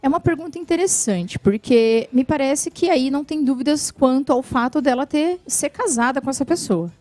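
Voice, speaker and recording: A woman's voice: high-pitched, moderate pace, dark tone, dry recording, soft background music. Patient woman explaining benefit rules. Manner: clear, patient explaining with frequent "né". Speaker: social security lawyer guest. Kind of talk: explanatory TV interview answers. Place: TV studio.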